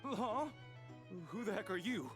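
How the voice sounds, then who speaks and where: A petulant drunk customer in an anime game. He is high-pitched and blustering, with big indignant swings in pitch. Game music plays clearly behind him. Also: sing-song, unhurried. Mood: startled. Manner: whiny, indignant. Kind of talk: anime video game voice acting.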